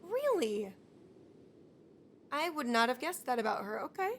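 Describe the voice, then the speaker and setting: Casting: female game streamer, clearly high voice, casual wry reactions over faint noise. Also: big pitch swings, unhurried. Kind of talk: casual live game commentary.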